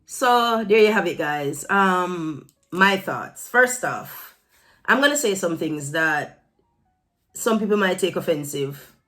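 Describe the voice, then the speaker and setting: A woman's voice: on the low side, animated, takes it slowly, fairly bright tone. A woman from Jamaica giving opinionated, casual commentary, speaking emphatically and stressing words with rhetorical questions. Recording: music playing behind the voice. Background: background music.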